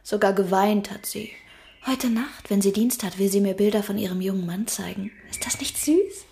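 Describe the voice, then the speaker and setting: A woman's voice: medium pitch, moderate pace, gravelly, mellow, slight background noise, lightly acted. An actress playing a flippant, worldly young woman in a German radio drama, theatrical and ironic, hiding hurt behind breeziness. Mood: giddy, ironic.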